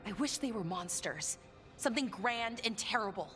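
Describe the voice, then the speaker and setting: A woman playing a candid, earnest elven adventurer in a video game, talking in an expressive, conversational way. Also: mid-range voice, normal speed, lightly acted. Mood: disillusioned. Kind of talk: naturalistic video game dialogue. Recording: faint music behind the voice.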